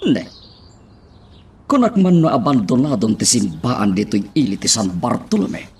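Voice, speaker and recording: A man's voice: mid-range voice, very animated, a little slow, vocal fry, breathy edge, full character voice. A man acting a stern, commanding crime boss and father in a radio drama. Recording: faint background noise.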